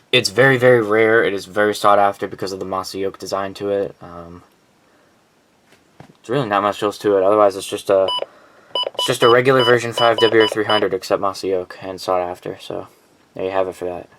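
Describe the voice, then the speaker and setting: A man's voice: on the low side, noisy background. A man casually and informally presenting his collection in a rambling, unscripted vlog.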